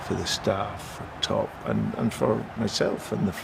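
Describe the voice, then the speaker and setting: A man's voice: low-pitched, sing-song, unhurried, vocal fry, noisy background. A man from a football club reflecting in a documentary interview. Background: music.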